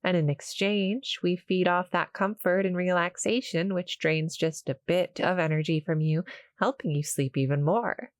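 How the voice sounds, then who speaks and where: A woman voicing a small, shy, friendly cuddle-monster girl in an audio roleplay, halting and apologetic as she speaks to the listener. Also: lowish voice, steady tone, no echo, lightly acted. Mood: friendly, reassuring. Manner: nervous, halting, stammering, soft-spoken explaining.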